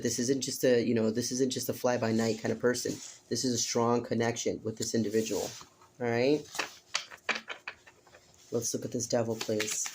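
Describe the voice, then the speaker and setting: Hoarse man giving a flat-toned tarot reading. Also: mid-range voice, noisy background. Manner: earnest, repetitive, addresses listener directly with "okay?". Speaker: tarot reader. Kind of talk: casual spoken tarot reading.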